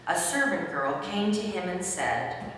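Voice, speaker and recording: A woman's voice carries from a distance through a very echoey hall. She narrates scripture formally, with a lowish pitch, as the reader of a dramatic story. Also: lively intonation, a little slow, a bit bright, slight background noise. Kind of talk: scripted dramatic Bible reading.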